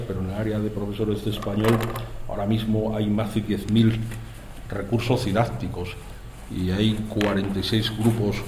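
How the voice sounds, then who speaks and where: A man gives a formal speech in a university hall. His voice is lowish, mellow and quite flat, and he keeps repeating phrases. The sound is roomy, with a faint hiss behind him. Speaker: institute director. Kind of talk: formal speech at an agreement signing.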